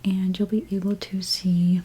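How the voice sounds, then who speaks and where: A woman whispering in an ASMR role play as a hairstylist, gently talking through each step in a breathy, mostly unvoiced voice. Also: mid-range voice, flat delivery, low-quality recording. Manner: gentle, unhurried, step-by-step personal attention. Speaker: ASMR creator playing a hairstylist.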